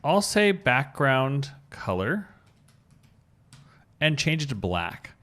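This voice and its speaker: A man's voice: mid-range voice, big pitch swings, takes it slowly, a bit bright. A man presenting an informal coding tutorial, thinking aloud and spelling out technical terms step by step. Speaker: web design tutorial presenter.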